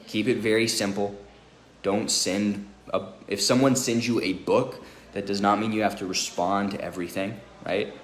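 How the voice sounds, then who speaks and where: A man's voice: lowish voice, fairly even intonation, normal speed. A man giving an informal sales training talk in a conversational, instructive way, often checking in with the listener. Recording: echoey room with faint noise.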